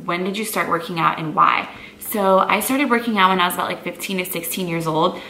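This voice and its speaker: A woman's voice: lowish voice, a little fast, pushed and bright. A woman in her twenties from Brazil, a native Portuguese speaker, chatting easily to camera in a Q&A and using lots of "like.". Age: 20s. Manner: chatty, easygoing, peppered with "like". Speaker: lifestyle vlogger. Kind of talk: casual Q&A chat to camera. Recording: echoey room, faint background noise.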